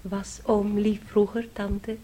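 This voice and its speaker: An actress in a Dutch radio play playing a shy young niece, timid and hesitant. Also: female voice, medium pitch, level tone, takes it slowly, warm tone. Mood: shy, confiding. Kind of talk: Dutch radio drama acting.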